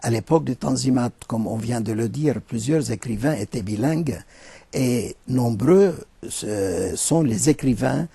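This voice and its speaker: An older man speaks very slowly in a gravelly, deeply husky voice. His tone is dark and mellow. He answers interview questions formally and hesitates often as he picks his words. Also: no echo. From Lebanon. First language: Arabic. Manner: deliberate, formal, with frequent hesitations.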